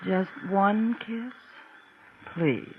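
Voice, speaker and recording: A woman's voice: mid-range voice, expressive, extremely slow. An actress playing a slangy, flirtatious, coaxing female accomplice in a 1940s style radio suspense drama. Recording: tinny, noisy old radio recording.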